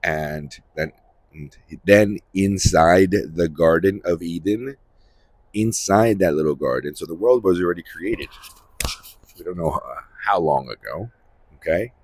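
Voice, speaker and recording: A man hosting a Bible-reading series, giving informal commentary in a halting, repetitive way and often checking for agreement. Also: sits low, a little mellow, breathy edge, dry recording.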